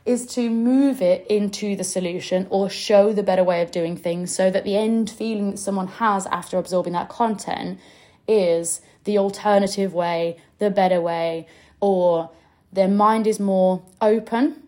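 Chatty woman coach, mid-pitched. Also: fairly even intonation, unhurried, a little mellow. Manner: chatty and direct, with occasional laughs and mild swearing. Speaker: business coach and podcast host. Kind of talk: casual teaching podcast monologue.

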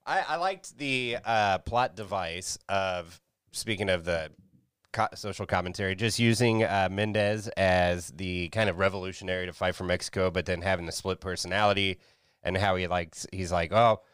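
A man's voice: deep voice, normal speed, a bit bright, clear, resonant tone, no room sound, studio quality. A man co-hosting a movie podcast, laid-back, going through his notes with a laugh. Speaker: podcast co-host. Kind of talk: casual movie review chat.

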